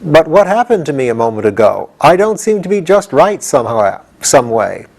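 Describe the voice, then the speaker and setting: A man's voice: a little high, very animated, normal speed, gravelly, darkish tone, voice pushed up, heavily acted. A man voicing a naive, humble living wooden sawhorse in an audiobook, with a surprised, questioning manner. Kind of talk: audiobook character voice.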